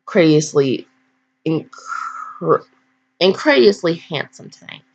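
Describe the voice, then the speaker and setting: A woman's voice: sits low, expressive, takes it slowly, darkish tone. A woman casually reading fan fiction aloud with slangy, blunt, digressive commentary and mock scolding. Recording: dull, lo-fi home recording.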